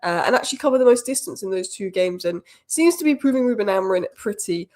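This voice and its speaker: A woman rattles through football news at a brisk clip, her voice a little croaky from a cold. She gives strong fan opinions in quick, decisive bursts, at a middle pitch. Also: animated, darkish tone. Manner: opinionated, rapid-fire fan commentary. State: has a cold, slightly croaky. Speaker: football channel host. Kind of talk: fast solo news roundup.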